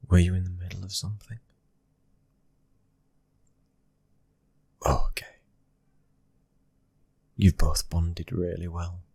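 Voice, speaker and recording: Guy acting as a devoted mafia husband, british, super low breathy voice, half whispering, soft asmr roleplay, very husky. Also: dry recording. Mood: soothing, hushed. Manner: hushed, often whispering, gentle and intimate. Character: tender, devoted mafia man, husband and proud father.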